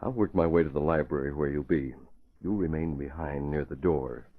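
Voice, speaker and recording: An actor playing a composed, decisive newspaperman and secret vigilante, giving brisk orders in a 1940s radio drama style. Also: very deep voice, husky. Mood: calm, commanding. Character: composed, commanding newspaperman leading a double life as masked vigilante. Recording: muffled vintage radio recording.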